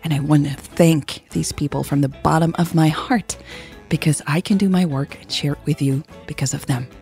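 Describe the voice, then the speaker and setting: A woman's voice: deep voice, fairly even intonation, moderate pace, vocal fry, darkish tone, soft background music. A woman hosting a podcast and reading scripted fiction as its narrator. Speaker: podcast host and author narrating her story.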